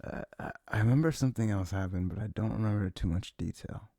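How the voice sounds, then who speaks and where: Male, very low and gravelly, for intimate ASMR rambling; halting, informal, sweary delivery. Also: mellow, no echo, studio quality. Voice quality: low, husky, creaky voice. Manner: sniffles habitually. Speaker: ASMR audio performer. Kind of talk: intimate rambling ASMR chat, reminiscing to a listener.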